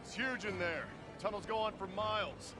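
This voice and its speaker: An actor playing an easygoing, rugged adventurer uncle in a video game cutscene. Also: male voice, high voice, moderate pace, over music, close to an everyday voice. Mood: focused.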